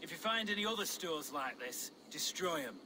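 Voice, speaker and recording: An actor playing a blunt, earthy Saxon rebel leader in a video game, plain-spoken and grimly determined. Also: male voice, on the high side, lively intonation, normal speed, background noise, lightly acted. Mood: scheming.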